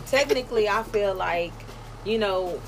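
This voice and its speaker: A woman's voice: medium pitch, measured pace, a crowd in the background. A woman with a light voice talking bluntly in a casual group chat, full of slang and emphasis. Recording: noisy background.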